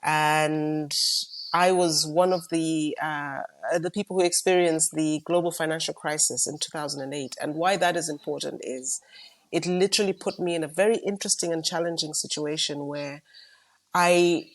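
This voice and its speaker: A middle-aged woman from Malawi, co-hosting a podcast and telling her story in a measured, reflective way. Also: low-pitched, fairly even intonation. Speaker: podcast co-host. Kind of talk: casual chat.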